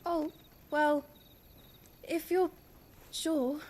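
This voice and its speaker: An eager, very high-voiced helper. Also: female voice, fairly even intonation, drawn-out words, darkish tone, slight background noise. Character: kind, eager young woman offering help.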